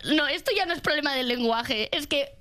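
A woman's voice: high voice, fast talker, bright, forceful tone. A woman with an accent from Spain, chatting and complaining in a self-mocking, exaggerated way as a comedic contributor on a talk show. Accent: Spanish from Spain. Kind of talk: comedic personal monologue and casual banter.

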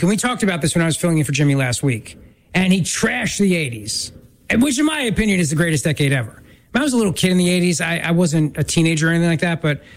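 Male talk radio host with a stuffed-up nose, chatty and self-mocking, voice on the higher side. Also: rough recording. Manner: chatty, self-deprecating, quick asides. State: stuffy from a sinus infection.

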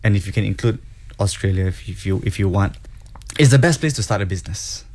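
Earnest man, fairly low voice. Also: a little fast, darkish tone. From grew up in Singapore and Hong Kong. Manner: earnest, encouraging, repeats words for emphasis. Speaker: guest entrepreneur. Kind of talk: casual advice talk, mixing English and Malay.

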